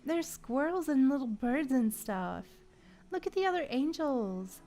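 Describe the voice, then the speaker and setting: Female game streamer, fairly high voice, unhurried pace, chatty casual commentary, faint music behind. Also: lively intonation, mellow. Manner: chatty, reacting aloud to the game. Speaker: game livestreamer.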